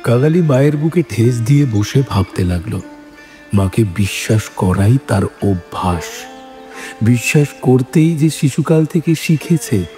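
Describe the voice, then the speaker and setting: A man reading scripted literary narration as a storyteller, in a measured manner. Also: medium pitch, animated, dark tone. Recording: music bed behind the voice.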